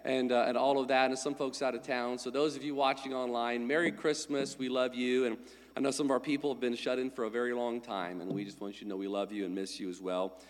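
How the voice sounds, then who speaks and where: A man's voice: medium pitch, fairly even intonation, fast. A male pastor, congested from a lingering cold, giving a friendly, conversational sermon with announcements into a pulpit microphone in a church. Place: church sanctuary. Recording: long church-hall reverb.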